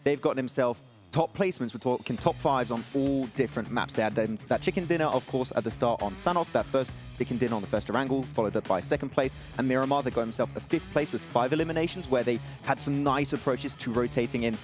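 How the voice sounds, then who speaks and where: Male esports analyst, quick and keen, faint music bed. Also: medium pitch. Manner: enthusiastic, rapid breakdown.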